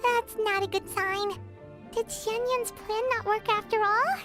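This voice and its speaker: A woman voicing a tiny, excitable female companion creature who talks about herself in the third person. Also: very high voice, unhurried. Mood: chatty. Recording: game audio over loud music.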